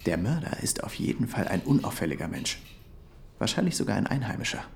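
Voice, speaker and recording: A man's voice: fairly low pitch, big pitch swings, fairly quick, vocal fry. An actor playing an unassuming, dryly knowing man in a radio drama dialogue, terse and understated.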